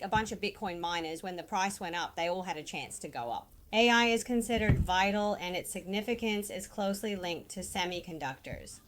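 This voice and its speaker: A woman's voice: lowish voice, a little hiss or hum. A woman investment analyst giving explanatory, emphatic market commentary on a talk show.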